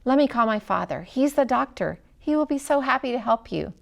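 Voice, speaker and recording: A woman's voice: fairly high pitch, expressive, brisk, creaky, dull audio, voice pushed up. A woman voicing an earnest, emphatic young woman in a storybook, reluctant but wanting to help. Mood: eager to help. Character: earnest young American missionary's daughter, reluctant but helpful. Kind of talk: storybook character reading.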